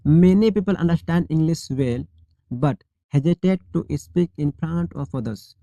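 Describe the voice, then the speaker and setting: A male English teacher leading a shadowing drill, reading each model sentence slowly and deliberately, then repeating it clearly. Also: a little high, mellow, dry recording.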